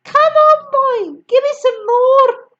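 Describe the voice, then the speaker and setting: A woman voicing a wild, greedy old grandmother with a scratchy voice, shouting her demands. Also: very high voice, steady tone, slow pace, pitched above the performer's own voice, exaggerated voice. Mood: demanding. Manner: shrieking, bossy demands. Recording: dull lo-fi recording.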